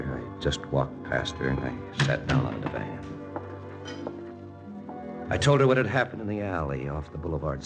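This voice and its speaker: An actor playing a hardboiled, wry lieutenant in a 1940s radio spy drama, talking in a terse, deadpan way. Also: male voice, a bass voice, vocal fry, exaggerated voice. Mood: wistful, guarded. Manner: terse, deadpan first-person narration. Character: hardboiled American lieutenant, wry, jealous, narrating his own spy story. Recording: old radio recording with music underneath.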